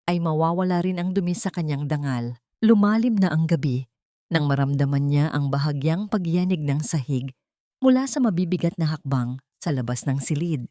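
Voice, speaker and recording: A woman narrating a scripted dramatic story with solemn, deliberate phrasing. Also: fairly low pitch, a little mellow, dry recording, clean studio sound.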